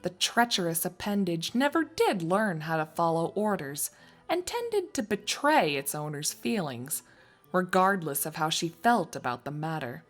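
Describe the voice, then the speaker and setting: A woman reading scripted story narration in an expressive, wry storytelling manner. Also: fairly low pitch, unhurried, soft background music. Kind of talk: scripted fan fiction narration.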